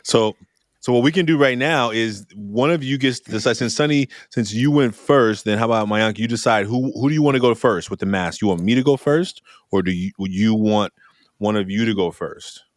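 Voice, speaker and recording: Male podcast host, deep pitch, dry close recording, reassuring, encouraging, conversational. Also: normal speed, no room sound. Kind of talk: casual, conversational interviewing.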